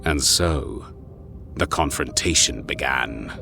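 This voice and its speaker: A man's voice: extremely low pitch, slow pace, creaky, sharp tone. A man reading scripted, dramatic narration for an audio story. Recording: music behind the voice.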